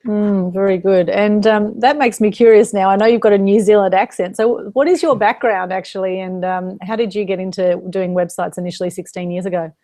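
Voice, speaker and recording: A woman's voice: mid-range voice, steady tone, brisk, hardly any pauses, warm tone. A woman hosting an interview, asking questions and encouraging her guest with frequent murmurs of agreement. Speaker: host.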